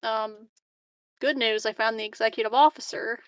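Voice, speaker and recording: A woman's voice: fairly high pitch, fairly even intonation, normal speed, clean tone, no echo. A woman playing a tabletop game, improvising in a laid-back, slangy and hesitant way. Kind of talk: casual chat, improvised play.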